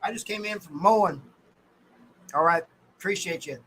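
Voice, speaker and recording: Bright, higher-voiced American man chatting casually. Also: normal speed, breathy edge, poor audio. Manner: folksy, colloquial, addresses viewers by name. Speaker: livestream host. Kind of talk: casual livestream chat with viewers.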